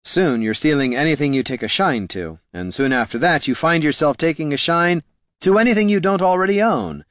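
A man's voice: a little high, lively intonation, a little fast, a bit bright, no room sound, clean studio sound, pitched above the performer's own voice. A man voicing a sly, wordy bard and reformed thief in a fantasy audiobook, slick, theatrical and self-justifying. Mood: wistful, dramatic.

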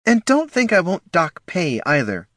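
Male narrator doing a growly gnoll mom, raised pitch, short-tempered, barks orders. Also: wildly swooping pitch, moderate pace, bright, forceful tone, dry recording, studio quality, exaggerated voice. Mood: snapping. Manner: snarling, barking orders. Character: fierce, harried female gnoll mother.

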